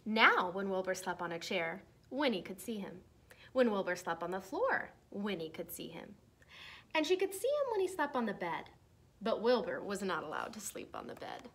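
Young female storyteller, picture-book read-aloud for children, expressive and deliberate phrasing, mid-range voice. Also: mid-range voice, slightly rough. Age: young adult. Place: small decorated room indoors.

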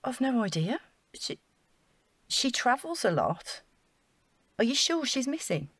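A woman's voice: on the high side, big pitch swings, a little slow, a bit bright, slightly rough, dry recording, heavily acted. An actress playing an earnest, naive council housing officer in an audio drama, chatty and flustered as she over-explains. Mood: surprised, worried.

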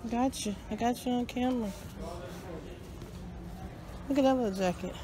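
A woman's voice: fairly high pitch, moderate pace, a crowd in the background. A middle-aged American woman chatting casually as she vlogs on a handheld phone. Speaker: vlogger. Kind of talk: casual vlog chat. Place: busy shopping mall food court and store. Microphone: handheld phone at arm's length. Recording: phone recording with music behind.

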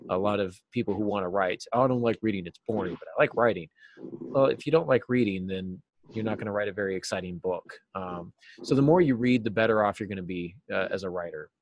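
Low-voiced middle-aged man, casual and explanatory. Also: fast, slight background noise, poor audio. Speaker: interview guest, novelist and writing teacher. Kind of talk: casual interview conversation about craft. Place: small cluttered office, indoors. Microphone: video call, seated at a desk.

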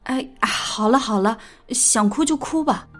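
A playful young woman with a big-sisterly, caring streak in an audio drama. Her voice is fairly high and bright, expressive and coaxing. Music plays behind her. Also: unhurried. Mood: flustered, soothing. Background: background music.

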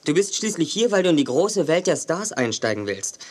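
Pompous, husky male pop star. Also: on the high side, sing-song, brisk. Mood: offended, haughty. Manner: pompous, lecturing. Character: vain, self-important male pop songwriter. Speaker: actor in a children's audio drama. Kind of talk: 1980s German children's radio play acting.

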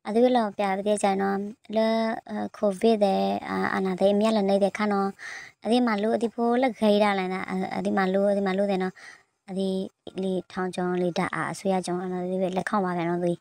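Woman, medium pitch, little variation, hesitant phrasing, news soundbite. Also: steady tone, brisk, darkish tone, dry recording.

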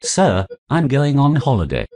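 A man's voice: medium pitch, wildly swooping pitch, measured pace, warm tone. A man voicing a formal, butler-like male robot hat sidekick. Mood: dry, formal. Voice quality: polite butler-like, dry.

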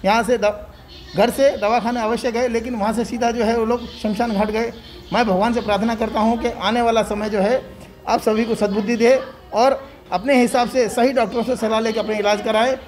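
A man's voice: extremely high pitch, fairly even intonation, moderate pace, a little mellow. A middle-aged man making a formal public appeal to camera, often padding his phrases with fillers. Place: indoor clinic room in front of banner.

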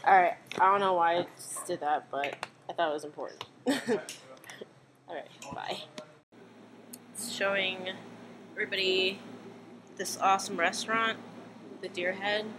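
A woman's voice: medium pitch, fairly even intonation, a little slow, pauses often. A young woman chatting casually and informally in a rambling travel vlog, recorded on a camera held at arm's length. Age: young adult. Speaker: vlog host, filmmaker. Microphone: built-in camera mic at arm's length. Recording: noisy handheld amateur recording.

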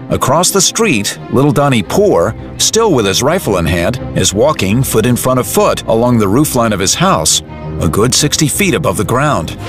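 A man reading scripted dramatic narration for an audio drama. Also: medium pitch. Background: music.

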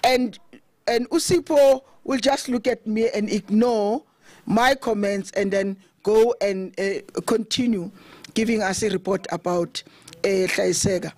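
A middle-aged South African woman speaks at a podium mic during an outdoor funeral. Her voice is loud and conversational, mixing Zulu into her English, at a middling pitch. The sound is close and dry. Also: fairly even intonation, unhurried, no echo. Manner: loud, conversational, code-switching. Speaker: eulogy speaker, committee chair. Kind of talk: funeral tribute speech. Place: open thatched shelter outdoors.

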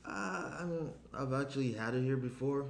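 A man's voice: medium pitch, normal speed, creaky, natural voice. A young man acting an attentive, slightly anxious boyfriend in a conversational, hesitant script reading. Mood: casual. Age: young adult. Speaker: actor in a screenplay table read. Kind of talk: naturalistic dramatic script reading. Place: small indoor room around a table. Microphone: shared tabletop microphone. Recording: roomy echo, noticeable room noise.

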